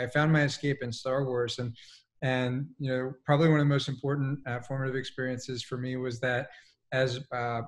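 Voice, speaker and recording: Male panelist, forties, articulate storyteller, restrained intonation. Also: medium pitch. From Colombia, moved to the United States as a child. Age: 40s. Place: living room at home.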